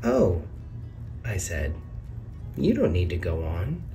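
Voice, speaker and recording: A man's voice: low voice, wildly swooping pitch, takes it slowly, creaky, mellow, distant mic, soft background music. A man reading a children's picture book aloud in a sing-song way, lifting his voice on each question and reveal. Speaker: read-aloud narrator. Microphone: camera over the book, hands on pages.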